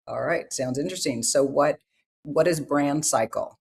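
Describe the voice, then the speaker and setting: A woman's voice: deep voice, moderate pace, vocal fry, a little mellow. A woman from Northern California hosting an interview, asking friendly, chatty questions. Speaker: podcast host.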